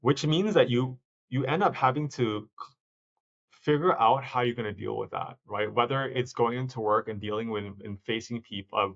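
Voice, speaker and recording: A man's voice: mid-range voice, moderate pace, gravelly, a bit bright. Reflective man, slightly muffled sound. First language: not stated. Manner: reflective, many restarts and self-corrections. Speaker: startup founder, guest. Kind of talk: conversational interview answers.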